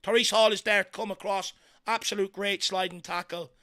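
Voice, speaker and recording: An Irish man hosting a fan watchalong, giving excitable partisan live commentary on a football match with a croaky, worn voice. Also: high voice, a little fast. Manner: excitable partisan, shouts encouragement at players. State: voice going croaky from hours of talking.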